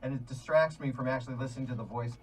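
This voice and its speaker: A man's voice: mid-range voice, fairly even intonation, very fast, slightly rough, rough recording. A man, the director of an audio play, chatting casually during a session, laughing and explaining with restarts. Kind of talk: casual chat in a recording session.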